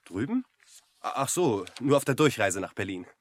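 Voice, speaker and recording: An actor playing a polite, ponderous man in his twenties looking for work, wry and deliberate, in a radio drama. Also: medium pitch, very animated. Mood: curious. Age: 20s. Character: hesitant, polite, ponderous young male job seeker, former student. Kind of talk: German radio drama dialogue.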